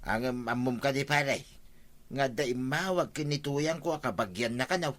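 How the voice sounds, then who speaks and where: A man's voice: mid-range voice, normal speed, fairly bright tone, natural voice. An actor playing a pushy, boastful village man with an Ilocano accent in a radio comedy drama, speaking insistently.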